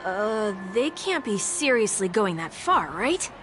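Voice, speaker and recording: A wry young heroine. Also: female voice, medium pitch, sing-song, measured pace. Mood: curious. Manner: wry, questioning. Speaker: player character. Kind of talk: game voice acting. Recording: game audio with loud music.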